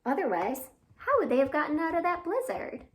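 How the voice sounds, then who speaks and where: A woman's voice: high-pitched, very animated, a little fast. A woman hosting a children's storytime, reading expressively with asides and questions to young listeners. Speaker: library storytime host.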